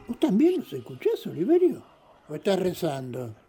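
A man's voice: high voice, wildly swooping pitch, moderate pace, dark tone, exaggerated voice. A man playing a superstitious, chatty night watchman in an audio drama, with a Rioplatense Argentine accent, muttering to himself and exclaiming. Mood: uneasy, muttering. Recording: long hall-like reverb.

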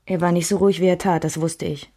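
Actress as a low-voiced, restrained weary wife. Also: female voice, steady tone, quick pace, mellow, dry recording, studio quality, lightly acted. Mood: restrained, reflective. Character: weary wife and mother recalling her troubled marriage. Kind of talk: literary first-person narration in a radio play.

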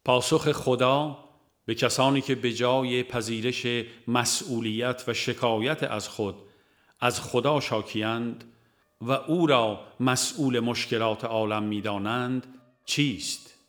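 A man reading a religious audiobook in a measured, formal way, as scripted narration. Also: mid-range voice, fairly even intonation, a bit bright.

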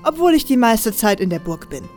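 A woman's voice: fairly high pitch, sing-song, brisk, darkish tone, faint music behind. A woman playing a confident, teasing female assassin with a mocking manner in an audio drama. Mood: confident, matter-of-fact. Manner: mocking, playful, self-assured. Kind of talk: German fan audio drama acting.

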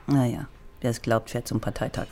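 A woman's voice: a bass voice, fairly even intonation, a little fast, darkish tone, a little hiss or hum. A woman playing a teasing, sardonic East German police lieutenant in a radio crime drama, speaking bluntly and with mocking familiarity.

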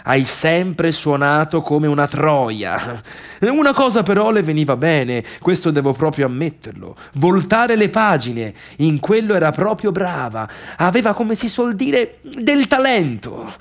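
An actor playing a middle-aged failed pianist, bitter and obsessive, in a scornful, sarcastic theatrical monologue about the sister who left him. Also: male voice, a little high, big pitch swings, measured pace. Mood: contemptuous, then mocking, bitter. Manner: scornful, sarcastic, brooding, swinging between muttering and outbursts.

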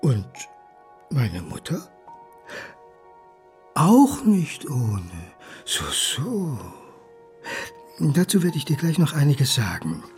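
A lonely failed son in a radio drama. His voice is very husky and unhurried, brooding and halting, with sardonic self-mockery. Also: medium pitch, a little mellow, heavily acted. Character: lonely, bitter failed son, drinking, self-mocking courier. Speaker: actor in a radio play. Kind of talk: intimate monologue radio drama.

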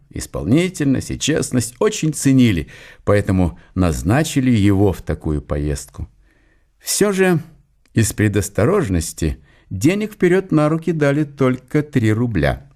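A man narrating a literary radio drama with deliberate, dramatic phrasing. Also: medium pitch, darkish tone. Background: music.

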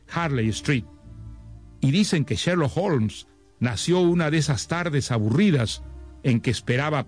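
Male presenter, mid-pitched voice, scripted literary narration, measured expository delivery, faint music. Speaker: presenter introducing a literary radio series.

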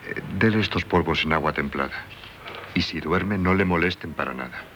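An actor in a 1970s radio drama playing an authoritative, reassuring family doctor with a brisk manner. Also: male voice, low-pitched, fairly bright tone, highs cut off. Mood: reassuring, businesslike. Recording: noisy 1978 radio recording.

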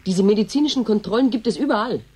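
An actress playing an indignant, defensive scientist in a radio drama, speaking formally and emphatically. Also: female voice, mid-range voice, quick pace, dark tone, husky, close to an everyday voice. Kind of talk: German radio drama acting.

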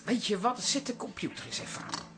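Een actrice die in een hoorspel een kletsgrage, snel opgewonden oudere tante speelt, aarzelend en vol herhalingen. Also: female voice, medium pitch, sing-song, a little fast, music in the background, close to an everyday voice. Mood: coaxing. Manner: exclaiming, hesitant, repeats words. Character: chatty, excitable older aunt, a pub regular. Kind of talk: Dutch radio play acting.

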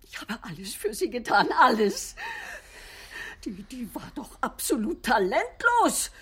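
A woman's voice: a little high, sing-song, a little slow, slightly rough, full character voice. An actress playing a bitter, domineering, jealous woman doctor in a radio crime drama, theatrical and venomous. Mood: scornful, anguished. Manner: theatrical, venomous, lurching from hiss to wail.

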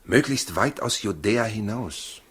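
A protective, determined husband in a 1960s radio play. His voice is deep and rough-grained. He speaks gravely, in a measured, urging way. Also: lively intonation, fairly bright tone, exaggerated voice. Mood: grave, cautious. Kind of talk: 1960s German radio drama acting.

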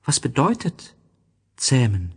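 A man voicing a gentle, curious, sad young boy in a storybook reading, polite and questioning. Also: medium pitch, huge pitch swings, takes it slowly, husky, long reverb tail, pitched above the performer's own voice, exaggerated voice. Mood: earnest, questioning.

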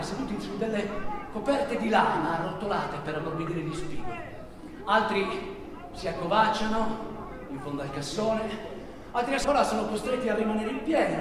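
Actor as theatrical high-voiced male traveller, very echoey. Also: natural voice. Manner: theatrical, swings from hushed slow phrases to agitated calls. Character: male traveller narrating a crowded desert truck journey, vivid, excitable. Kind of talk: dramatic theatre monologue narration. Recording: very echoey hall, distant, music behind. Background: music.

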